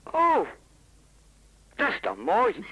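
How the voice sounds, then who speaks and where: A woman's voice: medium pitch, huge pitch swings, extremely slow, a bit bright, breathy edge, highs cut off, full character voice. An actress in a Dutch radio drama playing a busy, protective housewife and mother, blunt and indignant on the phone. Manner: blunt, indignant, plain-spoken.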